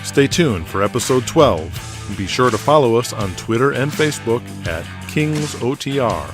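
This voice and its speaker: A man's voice: medium pitch, expressive, moderate pace. A man reading scripted narration for an audio drama. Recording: music bed behind voice. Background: music.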